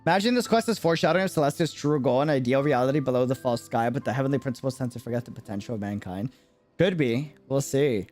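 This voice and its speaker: Man, casual game stream commentary, animated pitch, musing, halting, seeks agreement, faint music.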